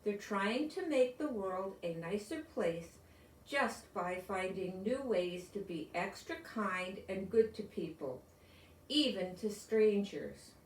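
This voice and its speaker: Slow-paced older American woman reading a storybook. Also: medium pitch, expressive. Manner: deliberate, explanatory, talking to young children. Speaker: storybook reader. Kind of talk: read-aloud children's story narration. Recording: roomy echo, distant from microphone.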